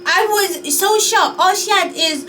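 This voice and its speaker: A woman's voice: extremely high pitch, a little slow, bright, forceful tone, far from the mic, a little hiss or hum. A Jamaican woman vlogging in a chatty, unsure way, sighing as she thinks things over. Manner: chatty, musing and unsure, with sighs. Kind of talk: casual talk to camera.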